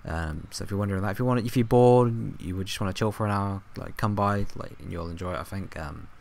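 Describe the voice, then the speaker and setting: A young man with a hoarse, fading voice, chatting casually over his football game footage, rambling with lots of ums and restarts. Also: lowish voice, quick pace, a little mellow. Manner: rambling, filler-heavy, self-correcting. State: hoarse, coughing, voice going. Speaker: gaming YouTuber. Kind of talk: casual gameplay commentary.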